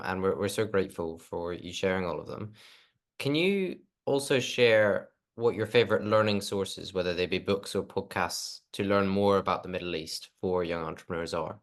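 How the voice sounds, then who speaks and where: A man's voice: on the low side, a bit bright. A man hosting an interview, politely thanking his guest and asking a question. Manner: polite and appreciative. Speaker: interviewer, host.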